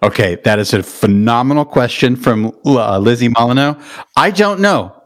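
A man hosting a podcast in casual chat. He talks in a loud, profane and playful way, with sudden high whoops. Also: lively intonation, moderate pace. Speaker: podcast host.

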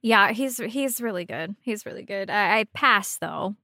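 A woman's voice: medium pitch, expressive, a little slow, bright, forceful tone, no echo, studio quality. A woman co-hosting a casual podcast about video game characters, with playful, wry and teasing banter. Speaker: podcast co-host.

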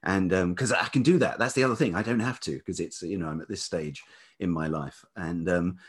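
Middle-aged male, deep velvety voice with some huskiness, hesitant reflective storyteller in relaxed interview conversation. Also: breathy edge. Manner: hesitant, halting, circling back. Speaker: podcast guest, writer and musician.

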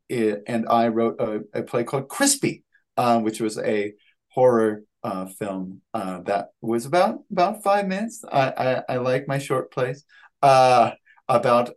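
Halting man in his thirties, medium pitch. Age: 30s. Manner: halting, hesitant phrasing with repeated words. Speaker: guest, playwright and actor. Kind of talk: conversational interview answers.

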